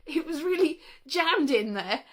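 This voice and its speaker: A woman with a high-pitched, bright voice speaks in an informal vlog monologue. She is chatty and wry, wandering from one thought to the next and cutting herself off as she goes. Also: lively intonation, unhurried. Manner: chatty, wry, self-interrupting. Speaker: vlogger showing old interview tapes. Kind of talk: casual rambling monologue to camera.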